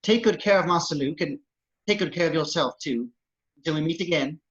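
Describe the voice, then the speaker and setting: A man's voice: a little high, a little fast, a bit bright, natural voice. A man playing a fretful, mannerly protocol droid in an amateur table read, polite and fussy. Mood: wistful, concerned. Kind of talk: amateur remote table read of a film script. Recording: dull, lo-fi home microphone.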